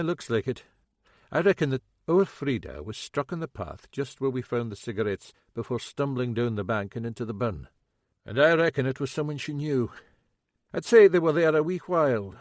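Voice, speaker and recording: A man voicing a shrewd middle-aged Scottish detective inspector with a dry, brisk, commanding manner and a Scottish accent. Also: mid-range voice, no room sound.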